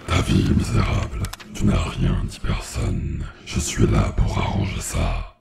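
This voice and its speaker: A man playing the devil in a comic audio drama, a grandiose, menacing but easily exasperated lord of darkness with a gravelly, whispery growl. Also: very deep voice, wildly swooping pitch, unhurried, darkish tone, reverberant, exaggerated voice. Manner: solemn pronouncements slipping into casual irritation.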